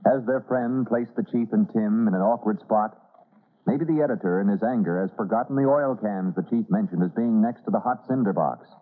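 A man's voice: on the low side, fairly quick. A man announcing an old-time radio serial in a dramatic scripted style. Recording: tinny, narrow old radio recording.

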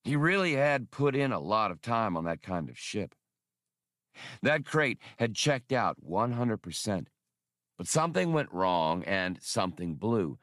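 A man's voice: on the low side, big pitch swings, measured pace, a bit bright, dry recording, studio quality. A man voicing a seasoned, easygoing ex-officer in a space adventure audiobook, spinning yarns with wry mildness. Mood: wry, reminiscing. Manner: wry, mild storytelling. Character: seasoned, easygoing male ex-officer telling old war stories.

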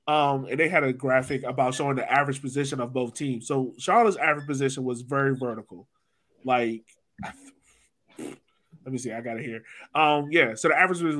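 Casting: American male podcast host, middle-register voice, relaxed everyday phrasing for casual sports talk. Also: medium pitch. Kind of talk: casual soccer discussion.

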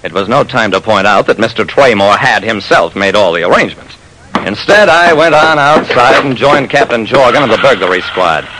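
A man's voice: medium pitch, expressive, moderate pace, pushed and bright, slight background noise, poor audio. A man narrating a classic radio detective drama as its lead, in a wry, dramatic first-person storytelling manner.

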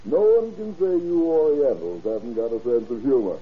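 An actor playing an oily, boastful middle-aged drug dealer in an old radio crime drama, ingratiating, with hemming and chuckles. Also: male voice, mid-range voice, sing-song, moderate pace, husky. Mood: gleeful boasting. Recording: muffled old-time radio recording.

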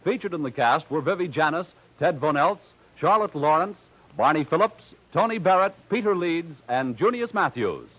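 A male American radio announcer with a fairly high voice and lively intonation. He delivers formal credits in 1940s style, on a dull vintage recording. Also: normal speed.

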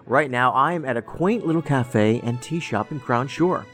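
A man's voice: medium pitch, animated, normal speed, a bit bright, soft background music, natural voice. A man playing a quirky, tactless federal agent in an audio drama, talking in a rambling, pedantic, matter-of-fact way. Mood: musing, chatty.

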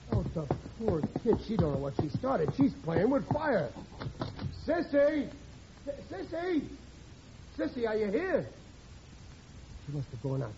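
A man's voice: high-pitched, creaky, full character voice. An actor playing a blustering, gullible, worrying working man in a 1940s radio comedy, talking in a folksy, ungrammatical way. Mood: anxious. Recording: muffled, noisy old radio recording.

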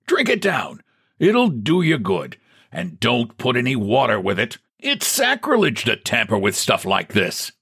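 A man's voice: mid-range voice, huge pitch swings, measured pace, gravelly, bright, forceful tone, husky, pitched above the performer's own voice, heavily acted. A man voicing a stout, hearty middle-aged businessman with a jovial, boastful manner.

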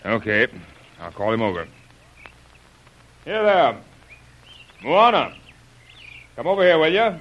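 An actor playing a gruff, practical expedition boss with plain-spoken, folksy phrasing, in 1930s radio adventure style. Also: male voice, a little high, very animated, slow pace, pauses often, lo-fi sound, full character voice. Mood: urgent. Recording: noisy old radio recording.

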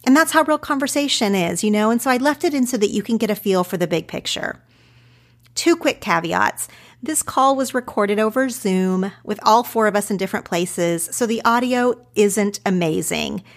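A woman's voice: medium pitch, normal speed. A woman in her thirties with an Oklahoma accent, introducing her podcast episode in an earnest, reflective way. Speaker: podcast host. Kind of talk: podcast intro then conversation.

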